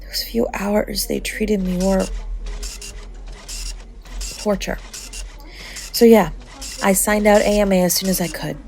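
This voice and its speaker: Woman acting a gravelly, tough-tender young girlfriend. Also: mid-range voice, fairly even intonation, a little mellow. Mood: soft, earnest. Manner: blunt, gruffly affectionate, halting when emotional. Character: tough-tender, sharp-tongued young woman fiercely protecting their injured lover. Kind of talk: intimate scripted audio roleplay, acted.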